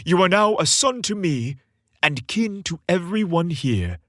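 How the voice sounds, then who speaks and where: Man putting on a booming old Santa Claus. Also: big pitch swings, a little slow, fairly bright tone, no echo, clean studio sound, full character voice. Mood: tender, proud. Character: jolly, commanding old Santa Claus, warmly fatherly. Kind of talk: storybook character voice.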